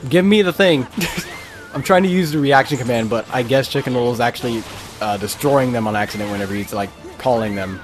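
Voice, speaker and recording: A man giving casual, halting live game commentary, breaking off to exclaim at the game. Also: medium pitch, music in the background. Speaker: gaming video creator.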